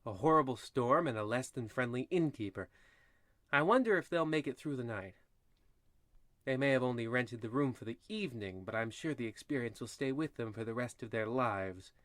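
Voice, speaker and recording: Male actor for horror radio narrator, old-time parody. Medium pitch, theatrical, slyly ominous, dry sound. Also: moderate pace, fairly bright tone, no room sound, natural voice. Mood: wry, ominous. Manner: theatrical, tongue-in-cheek. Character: wry, spooky male horror-anthology radio host. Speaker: narrator host of radio play.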